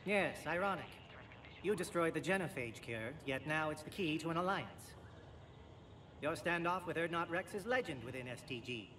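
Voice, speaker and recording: Amphibian alien officer, bright forceful tone, clipped military precision, dry, game dialogue, faint noise. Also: male voice, on the high side, animated, moderate pace. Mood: brisk, wry. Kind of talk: video game cutscene acting.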